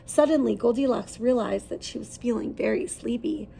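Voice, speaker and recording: A woman's voice: a little high, moderate pace, creaky, warm tone, slight background noise, low-quality recording. A woman reading a children's story aloud expressively, putting questions to her listeners as she goes.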